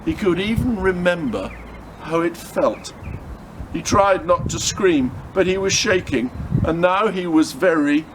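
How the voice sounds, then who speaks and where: Animated higher-pitched male storyteller, noisy recording. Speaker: storyteller reading a chapter aloud. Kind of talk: expressive audiobook-style narration of a children's adventure story.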